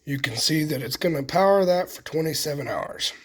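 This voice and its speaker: A man with a folksy, unhurried way of thinking aloud as he hosts a casual hands-on DIY demonstration. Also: a little high, animated. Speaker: host of a homesteading DIY vlog.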